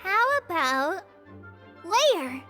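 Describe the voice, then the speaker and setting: A tiny fairy sidekick, extremely high and piercingly bright. The delivery is perky and cheeky, bouncing widely up and down like an animated game mascot, with music playing behind. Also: female voice, extremely slow, full character voice. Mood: awkward. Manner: refers to self in third person, perky. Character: tiny chirpy fairy-like companion girl, bubbly and cheeky. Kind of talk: anime fantasy game voice acting.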